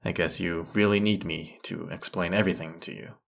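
A man's voice: low voice, steady tone, a little fast, creaky, fairly bright tone. A man playing a grumpy, haughty century-old teapot spirit in an audio roleplay, scolding the listener with put-upon sarcasm. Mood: scolding, dismissive.

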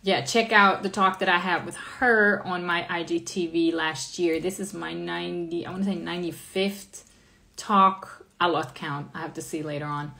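Bright-voiced Dutch woman live-streaming to viewers. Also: on the low side, fairly even intonation, normal speed, vocal fry. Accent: slight American. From Netherlands. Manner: chatty, upbeat, reads out viewer comments. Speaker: live-stream interview host. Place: small room indoors. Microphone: phone camera at arm's length.

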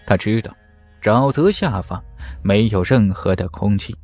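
A man's voice: on the low side, lively intonation, a little slow, a little mellow, dry recording. A man reading a fantasy novel as dramatic scripted narration, with theatrical, emphatic storytelling. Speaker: audiobook narrator. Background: background music.

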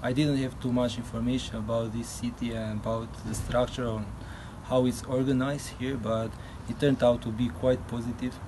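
20s guy from albania, flat steady voice, noisy outdoors. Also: medium pitch, moderate pace, hardly any pauses. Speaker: exchange student. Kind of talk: casual interview answers.

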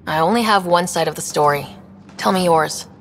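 A woman's voice: fairly low pitch, normal speed, fairly bright tone, a little hiss or hum. A woman voicing a young space explorer in a video game, earnest, direct and full of probing questions. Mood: curious, earnest. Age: young adult. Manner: direct, inquisitive. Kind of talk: video game dialogue acting.

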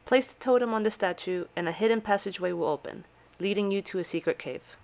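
A woman's voice: mid-range voice, fast, a little mellow. A woman reading scripted, step-by-step tutorial narration for a video game guide.

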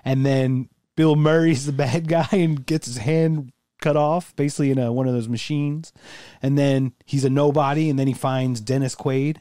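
A male podcast co-host in casual chat, rambling and crude and quick to laugh. His voice is mellow and warm at a middle pitch. The sound is dry and close, with no room echo. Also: fairly even intonation.

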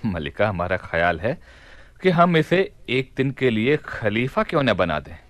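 An actor playing a commanding, mischievous caliph in a theatrical radio play. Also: male voice, on the low side, big pitch swings, no room sound. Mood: amused, persuasive. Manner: imperious, theatrical, deliberate. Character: commanding, mischievous caliph planning a prank in his palace. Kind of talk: Hindi radio play acting.

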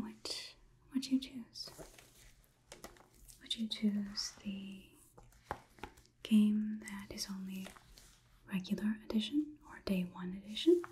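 A young woman playing a logic-minded robot overlord in an ASMR roleplay, whispering softly in a measured, detached way. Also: medium pitch, a little hiss or hum, natural voice. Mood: detached, curious. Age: young adult. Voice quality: soft, breathy whisper. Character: clinical, logic-minded female robot overlord examining a human. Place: small indoor room, plain wall.

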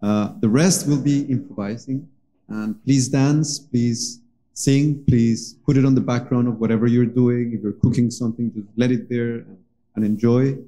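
A man in his thirties from Colombia, speaking into a stand mic in a small cafe as he introduces a livestream jam, earnest and pausing often to find his words. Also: medium pitch, steady tone, mellow, rough recording. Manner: earnest, searching for words with many pauses. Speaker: musician hosting a livestream jam. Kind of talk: casual spoken introduction to a livestream. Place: small cafe with stage, indoors. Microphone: vocal mic on a stand.

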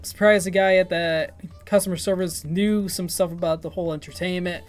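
A man's voice: high voice, steady tone, fairly quick, soft background music. A man in his twenties making a casual prank phone call, hesitant and rambling as if confused. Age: 20s. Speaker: prank caller, YouTube video host. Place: small room at home, indoors.

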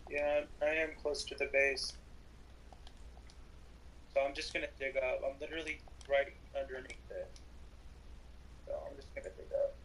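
A man's voice: mid-range voice, level tone, normal speed, pauses often, a bit bright, slight background noise, rough recording. A man talking casually as a player during an online game. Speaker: player in a Minecraft roleplay server.

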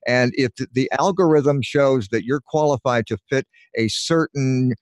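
An older man talks through industry advice in a relaxed, rambling way, pausing on fillers. His voice is dry and close, with no room around it, and comes over a video call from a small home studio. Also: medium pitch, no echo. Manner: easygoing, explains tips at length with fillers. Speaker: guest voiceover artist. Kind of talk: casual interview talk. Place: home radio studio with tape shelves, indoors.

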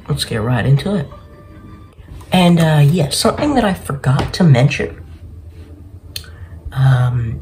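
A man's voice: on the high side, a little mellow, slight background noise, low-quality recording. A young man hosting a gaming video with casual gameplay commentary, speaking into a desk mic in a bedroom. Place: small bedroom, indoors.

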